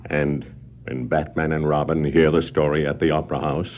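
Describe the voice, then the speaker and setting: An actor narrating a scripted 1960s superhero adventure record as a dramatic, portentous storyteller. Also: male voice, very deep voice, little pitch movement, moderate pace. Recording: muffled 1960s vinyl record.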